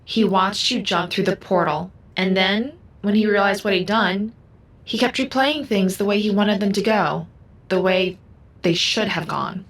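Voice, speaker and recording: A woman playing a gentle, solemn, all-seeing computerized being in an audio drama, speaking in a measured, cryptic way. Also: medium pitch. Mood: solemn, sad. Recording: music under the voice.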